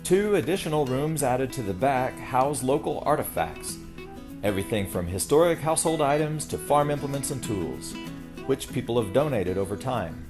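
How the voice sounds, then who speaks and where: With plucked guitar clearly playing underneath, a man narrates a history tour from a script. His medium-pitched voice rises and falls in a lively, animated way as he hosts. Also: normal speed.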